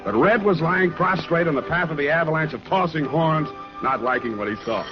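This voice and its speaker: Hard-boiled, wisecracking 1940s radio sleuth, male actor; cynical, unhurried, on a muffled vintage recording. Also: a little high, over music, lightly acted. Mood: dry narrating. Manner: wry, clipped, hard-boiled. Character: tough, wisecracking private detective, cynical and unhurried. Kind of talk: 1940s radio detective acting and narration.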